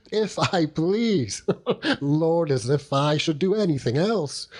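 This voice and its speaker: Hearty old country seaman, chuckling, overjoyed, lively pitch, unhurried audiobook character. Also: heavily acted. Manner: chuckling, rambling, dialect-heavy storytelling with exclamations. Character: hearty old working-class seaman uncle, doting and overjoyed.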